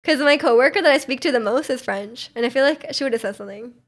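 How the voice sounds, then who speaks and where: A young woman from Canada with a high, light voice chats loosely to her stream audience. She rambles and giggles, filling her talk with "like" and quick restarts. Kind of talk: casual chat.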